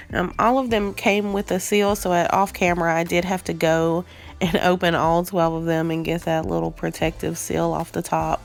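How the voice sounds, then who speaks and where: Casual vlogger, female, stuffy cold voice, gravelly, lots of ums, faint music behind. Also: on the low side. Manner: chatty, frequent ums, relaxed. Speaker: nail art vlogger. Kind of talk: casual unboxing chat.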